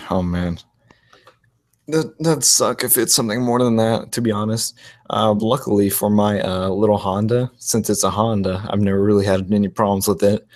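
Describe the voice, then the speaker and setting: A young man from Texas with a slight Texas twang talks in an easygoing, rambling way, full of fillers and quick laughs. His voice sits on the low side. The sound is dry and close, with no room around it. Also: darkish tone, no echo. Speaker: motovlog live stream host. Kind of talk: casual live stream chat.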